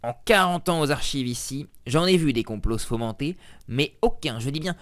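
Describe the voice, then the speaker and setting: A grizzled monk archivist in his fifties, suspicious of everyone, keeps his voice low and muttering, as a male storyteller puts him on. His pitch sits mid-range and his talk is full of needling asides and distrust. It comes across as a storybook villain of small power. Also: expressive, normal speed, fairly bright tone, natural voice.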